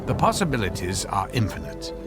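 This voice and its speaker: An actor playing an earnest, anxious physicist husband in a radio drama, explaining his invention in halting, stammering phrases. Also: lowish voice, wildly swooping pitch, over loud music. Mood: earnest, explanatory.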